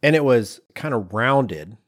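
A man's voice: medium pitch, expressive, measured pace, no room sound, studio quality. A man co-hosting a casual design discussion, speaking in an explanatory, step-by-step conversational way. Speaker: podcast co-host.

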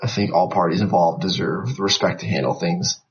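A tired man with a shrill voice, talking hesitantly as a podcast guest about baseball. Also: brisk, a loud crowd. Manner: hesitant, many fillers and restarts. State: tired from a late night. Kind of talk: casual podcast chat. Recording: lo-fi podcast mic.

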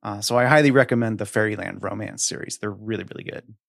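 A man's voice: fairly low pitch, fast, gravelly, a bit bright, no room sound. A man co-hosting a casual podcast, recommending things in an emphatic, gushing and slightly wry way. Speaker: podcast co-host. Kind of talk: casual book recommendation chat.

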